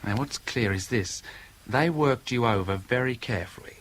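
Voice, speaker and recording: An actor playing a wry, blunt police inspector in a 1970s radio drama, questioning in a folksy, methodical way. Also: male voice, medium pitch, normal speed, close to an everyday voice. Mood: brisk, probing. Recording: old radio drama recording, faint noise.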